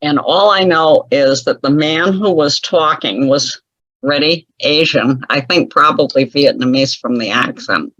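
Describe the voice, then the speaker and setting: A woman's voice: sits low, unhurried, fairly bright tone, dry recording, highs cut off. A woman telling a personal story as an interview guest, chatty and wry, laughing often at her own remarks. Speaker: guest author.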